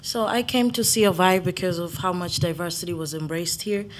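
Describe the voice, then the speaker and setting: A woman's voice: lowish voice, a little fast, a little hiss or hum. A young woman from Uganda, a college junior, giving an earnest, slightly hesitant prepared speech. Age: young adult. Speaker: student speaker.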